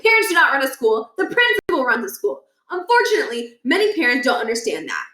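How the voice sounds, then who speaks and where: A woman's voice: high-pitched, big pitch swings, fast, sharp tone, voice pushed up, exaggerated voice. A woman voicing a pompous, power-hungry older male school principal in a children's story, lecturing with condescension and menace. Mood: scornful. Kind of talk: children's book read aloud. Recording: echoey room, distant from mic.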